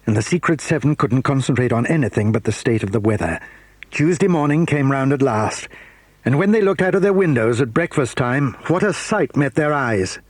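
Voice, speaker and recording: A man reading the narration of a children's radio drama in a measured storytelling way. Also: mid-range voice, dry recording.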